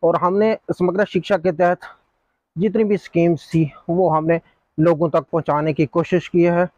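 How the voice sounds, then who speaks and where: A man who is an education official answering a news interviewer in a measured, formal way, mixing in English terms. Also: on the high side, fairly even intonation, a little mellow, no echo. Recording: dry, dull lo-fi sound.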